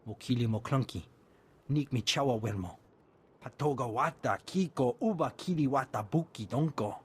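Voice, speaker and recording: An actor voicing a male alien with a husky voice, speaking an invented language in a video game. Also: mid-range voice, animated, normal speed, slight background noise, close to an everyday voice. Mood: businesslike.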